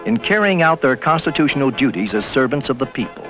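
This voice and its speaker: A man's voice: medium pitch, lively intonation, quick pace, fairly bright tone. A man reading scripted narration for an educational documentary, on an old film soundtrack. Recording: dull 1980 film soundtrack. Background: faint music.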